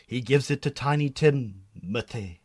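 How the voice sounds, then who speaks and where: A man's voice: medium pitch, a little slow, darkish tone, breathy edge. A man narrating a scripted radio drama in a theatrical storytelling manner.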